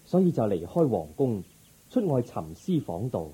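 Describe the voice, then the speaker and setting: Man acting earnest young monk, very mellow, husky voice. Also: mid-range voice, big pitch swings, low-quality recording. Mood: calm, sincere. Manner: measured, gentle, principled, formal. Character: young renunciant prince monk, calm, earnest, resolute seeker. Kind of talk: Cantonese Buddhist radio drama acting.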